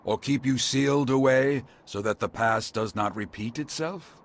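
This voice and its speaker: A man playing a courtly, wistful old mentor in a video game cutscene, speaking in formal, archaic, measured phrases. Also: mid-range voice, close to an everyday voice. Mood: grave. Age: older. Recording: loud music behind the voice.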